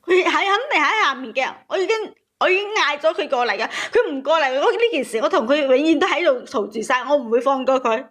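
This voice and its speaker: A woman's voice: sits high, expressive, quick pace, hardly any pauses, a bit bright. A woman calling in to tell her grievance in an agitated, indignant way, repeating words for emphasis. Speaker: caller telling a family grievance. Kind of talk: emotional call-in storytelling.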